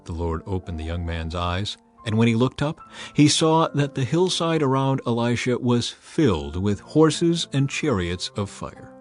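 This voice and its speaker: A man narrating a dramatized scripture reading in a measured, earnest way. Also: mid-range voice, very animated. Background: faint background music.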